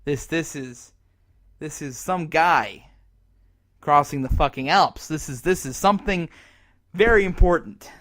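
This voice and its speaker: A man's voice: fairly high pitch, fairly bright tone. Man acting a despairing, bitter apocalypse radio broadcaster. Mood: defiant despair. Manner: halting, self-berating, sardonic, swearing. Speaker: radio announcer. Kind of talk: dramatic radio drama monologue.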